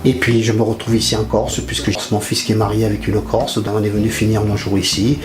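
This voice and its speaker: A man's voice: lowish voice, level tone, fast talker. An older man from France, a painter talking casually in his studio, hesitant and often repeating his words. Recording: roomy studio with music over it. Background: guitar music.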